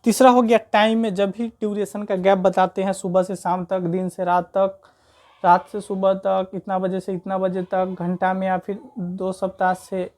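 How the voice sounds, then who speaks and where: Mellow Indian woman teaching grammar. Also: mid-range voice, level tone, normal speed. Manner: repetitive step-by-step explaining, frequent check-in tags. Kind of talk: informal explanatory grammar lesson.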